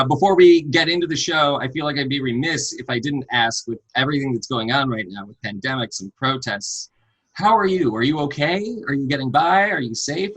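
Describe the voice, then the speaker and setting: Bright-voiced male interviewer, earnest. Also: medium pitch, slightly rough, low-quality recording. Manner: friendly, earnest, many fillers. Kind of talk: remote interview.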